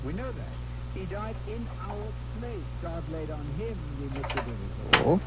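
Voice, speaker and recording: A man's voice: high-pitched, sing-song, normal speed. A man preaching a verse-by-verse radio sermon, explaining in emphatic short phrases. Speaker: Bible teacher. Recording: radio broadcast with faint noise.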